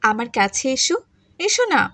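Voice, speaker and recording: A woman voicing a kind, patient stepmother in an animated moral story, tender and coaxing. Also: fairly high pitch, normal speed, no room sound, exaggerated voice. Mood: tender, reassuring.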